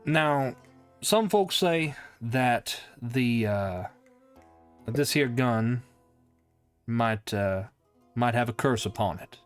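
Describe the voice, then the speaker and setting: A man voicing a folksy old western man who speaks in a slow drawl about a family heirloom gun. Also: mid-range voice, expressive, smooth, soft background music. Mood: ominous.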